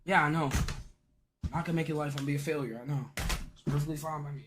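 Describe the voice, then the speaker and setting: A man's voice: a little high, fairly even intonation, fairly quick, over music. An eighteen-year-old man streaming live, frustrated and self-deprecating, swearing as he talks to his chat. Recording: noisy stream clip.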